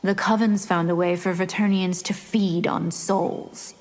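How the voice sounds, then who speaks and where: A woman's voice: on the low side, fairly even intonation, measured pace, natural voice. An actress voicing a haughty, domineering female vampire warrior in a fighting game, imperious and taunting. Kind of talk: fighting game character dialogue. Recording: echoing hall, loud game noise behind.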